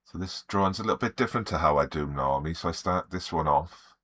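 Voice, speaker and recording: A man with a croaky sore throat, chatting easily with live viewers and greeting them by name while he works on a drawing. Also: low voice, quick pace, fairly bright tone. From Wales. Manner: easygoing, greets viewers by name, self-deprecating. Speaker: artist streaming live. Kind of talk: relaxed live-stream chat while drawing.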